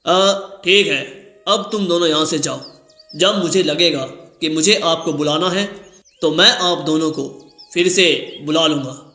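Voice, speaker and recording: A man playing an elderly, fatherly king in a cartoon story, speaking in a measured, formal and grave manner. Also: on the high side, bright, forceful tone, roomy sound. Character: old male king, fatherly, deliberate and grave.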